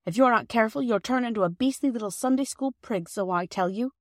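A woman voicing a gruff, brusque young boy who brushes off his sister's fretting. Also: a little high, fast, dry recording, studio quality, pitched above the performer's own voice, close to an everyday voice. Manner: gruff and dismissive.

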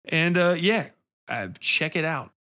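A man's voice: a little high, lively intonation, slow, pushed and bright. A man hosting a review podcast, talking casually and off the cuff in a blunt, colloquial way with plenty of swearing.

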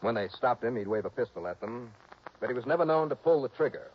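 An actor playing a hardboiled male insurance investigator, wry and brisk, in a 1960s radio drama. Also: mid-range voice, lively intonation, husky. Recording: dull old radio recording, faint hiss.

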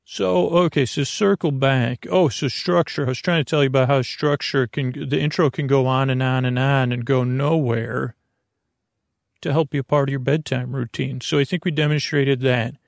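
A man with a noticeably creaky, middle-pitched voice hosts a bedtime podcast. He rambles in a silly, roundabout way, hesitating and restarting his sentences. The sound is dry, with no room echo. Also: fairly even intonation, moderate pace. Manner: meandering, hesitant, self-interrupting; whimsical, silly. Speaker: podcast host.

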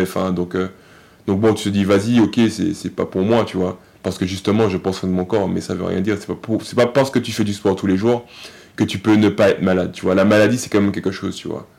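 A man, an athlete guest in a casual podcast interview, talking in a halting way with many fillers and restarts. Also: deep voice, steady tone, gravelly, a little mellow.

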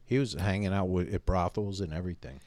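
A man's voice: deep voice, a little mellow, no echo. A man co-hosting a podcast, teasing and jabbing in casual banter. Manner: teasing, quick to jab. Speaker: podcast co-host.

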